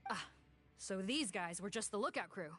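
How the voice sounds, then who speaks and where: Commanding woman officer, highly animated. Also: moderate pace, slightly rough. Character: brisk, commanding female guard officer. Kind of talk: video game voice acting.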